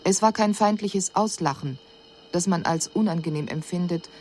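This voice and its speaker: A woman plays an alien envoy reporting on humankind in a radio drama. The voice is fairly low, with sober, precise and formal diction and an analytical detachment. The recording has a slight hiss. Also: moderate pace, a little mellow, natural voice. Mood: detached, sober. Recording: faint hiss on 1980s radio production.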